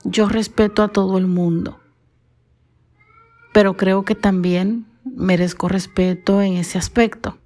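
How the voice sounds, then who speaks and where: A woman from the Dominican Republic hosts her own podcast, speaking unhurriedly on her own. Her diction is careful and formal, polished by training in broadcasting, and her pitch sits in the ordinary middle range for a woman. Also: fairly even intonation, unhurried, pauses often, a little mellow. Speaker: podcast host. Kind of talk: solo podcast monologue.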